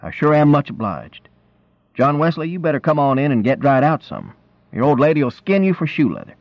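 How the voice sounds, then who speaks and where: A man's voice: a little high, sing-song, brisk, pitched above the performer's own voice. A man voicing a wry, stubborn, cheerful country man who talks in a teasing backwoods dialect. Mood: cheerful, folksy. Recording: roomy, dull lo-fi reading.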